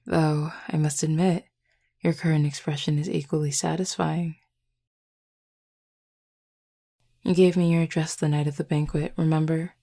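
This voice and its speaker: A woman playing a flirty, teasing, confident werewolf pack member in an intimate audio roleplay, speaking unhurriedly with a husky, gravelly voice. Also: sits low, little pitch movement, a little fast, dark tone. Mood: teasing, cool.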